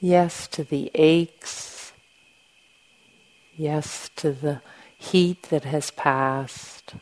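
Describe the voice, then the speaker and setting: A woman leads a guided meditation in a low, mellow voice. She speaks very slowly and gently, leaving long pauses between short phrases as she instructs. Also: steady tone, low-quality recording. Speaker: meditation teacher.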